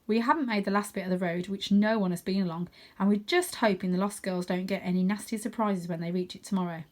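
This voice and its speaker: A woman's voice: mid-range voice, steady tone, fast talker, darkish tone. A woman reading a children's storybook aloud expressively, with chatty asides to her listeners. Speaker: reader of children's stories.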